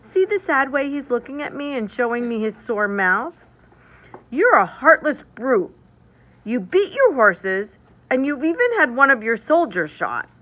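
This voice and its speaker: Woman voicing a high-pitched, pleading little girl. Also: big pitch swings, a little slow, pushed and bright, full character voice. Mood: tearful, defiant. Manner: pleading, indignant. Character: tearful, protective little girl pleading for her toy.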